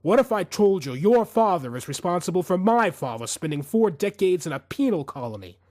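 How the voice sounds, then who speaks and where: A man's voice: sits high, sing-song, a little mellow, dry recording, clean studio sound, pitched above the performer's own voice. Man acting a menacing, vengeful assassin, swinging intonation. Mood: controlled rage. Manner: menacing, taunting, deliberate. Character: cold, vengeful male assassin with simmering rage.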